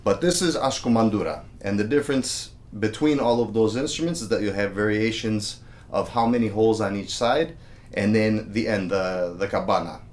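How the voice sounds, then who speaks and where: A man's voice: lowish voice, normal speed. A man giving a casual, friendly video lesson on a traditional instrument, counting beats aloud. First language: English. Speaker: music teacher.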